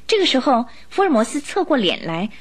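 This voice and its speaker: A woman's voice: a little high, big pitch swings, a little fast. A woman narrator telling a scripted story for a radio drama in a dramatic storytelling style.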